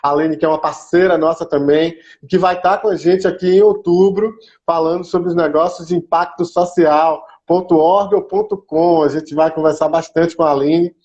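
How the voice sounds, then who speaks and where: A Brazilian man with a fairly high voice hosts an online chat show. He talks informally and welcomingly, with a Brazilian Portuguese accent. The sound is dull and lo-fi. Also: expressive, normal speed, darkish tone. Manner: informal and welcoming, greets viewers by name. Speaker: live-stream host. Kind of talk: casual, chatty live-stream hosting. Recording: dull lo-fi online stream audio.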